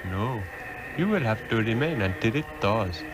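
An actor playing a wise, aged mystic master in an old radio drama, speaking solemnly and formally. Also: male voice, on the low side, expressive, normal speed, close to an everyday voice. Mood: knowing, calm. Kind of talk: 1960s radio drama acting. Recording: old radio drama recording under loud music.

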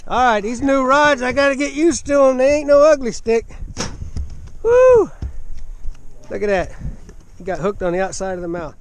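A man's voice: very high voice, very animated, normal speed, long pauses, background noise. A man teasing a buddy and boasting while fishing, recorded outdoors on a boat by an action camera. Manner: boastful, teasing, whooping when fish pull. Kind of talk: casual banter while fishing. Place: outdoors on a pontoon boat on a lake.

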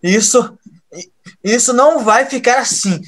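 High-pitched young hacker. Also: male voice, fairly bright tone, exaggerated voice. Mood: seething. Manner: halting, repeats words when pressed. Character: resentful young male hacker, a washerwoman's son. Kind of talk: audio drama acting.

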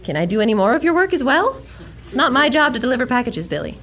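A woman playing a smart, flustered young museum scholar in a comic 1940s-style audio drama, articulate, excitable and haughty. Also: high-pitched, quick pace, slight background noise, full character voice. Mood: indignant.

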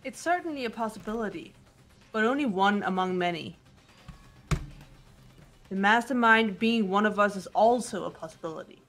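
Casting: woman as teenage sleuth, medium pitch, calm, measured, analytical game role. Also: soft background music, lightly acted. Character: cool, composed teenage girl detective. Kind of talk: amateur visual novel voice dub.

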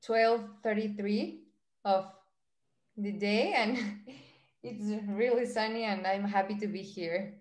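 Slow-speaking Mexican woman. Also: mid-range voice, a little mellow, roomy sound. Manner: halting. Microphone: video call.

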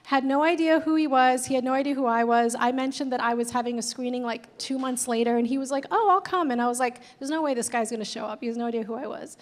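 A woman with a high voice hosts a live panel, chatty and casual with blunt humor. She speaks into a handheld mic on a stage. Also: quick pace, few pauses. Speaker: event host, moderator. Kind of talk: introducing panelists. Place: gallery stage before a large audience.

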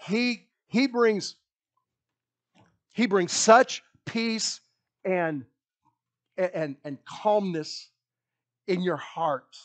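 A middle-aged man with a Kentucky accent preaching a lively, animated sermon in a small church hall on a handheld mic, often repeating himself as he paces the stage. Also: high-pitched. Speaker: pastor. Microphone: handheld mic, filmed by a far wide camera.

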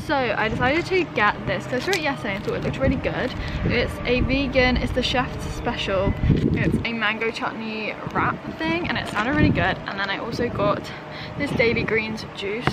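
A young woman chatting casually to a handheld camera at arm's length, bubbly and quick with asides. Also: a little high, heavy background noise. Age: young adult. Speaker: vlogger. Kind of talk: casual vlog chat. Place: outdoors in a town, lawns and streets.

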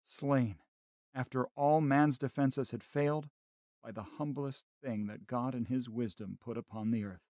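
A man playing a grave, educated survivor of an alien invasion in a radio drama, solemn and measured with literary phrasing. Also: medium pitch, a little mellow, no room sound, studio quality, close to an everyday voice. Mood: solemn, haunted. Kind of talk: dramatic radio narration and acting.

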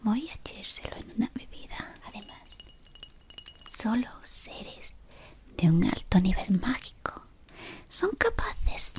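A woman in her thirties playing a scheming, devoted female servant of a dark wizard, whispering close to the mic in a dim room. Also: mid-range voice. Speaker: ASMR roleplayer. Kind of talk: whispered ASMR roleplay.

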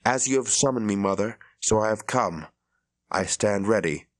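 A man's voice: fairly low pitch, normal speed, dry recording, lightly acted. A man voicing an earnest young shepherd who speaks with defiant conviction.

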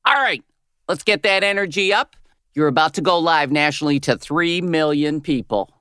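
A woman in her fifties playing a crude, cynical radio host with a smoker's gravelly voice in a comedy audio drama. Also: sits low, animated, moderate pace, a bit bright, dry recording, studio quality. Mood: pushy, profane. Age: 50s. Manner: brash, foul-mouthed, switching to syrupy on air. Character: crude, cynical, hard-bitten 57-year-old female radio host.

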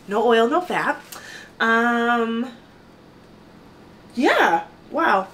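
A woman's voice: fairly high pitch, extremely slow. Chatty woman vlogging from her kitchen. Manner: chatty, animated, gestures a lot while talking. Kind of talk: casual talk to camera. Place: small home kitchen, indoors. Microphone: camera at a distance.

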